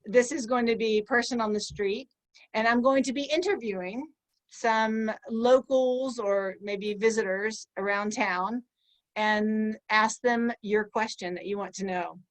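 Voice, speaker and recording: A woman's voice: a little high, a little slow. A woman improv performer setting up a street-interview skit in a chirpy, giggly way. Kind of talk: improv comedy.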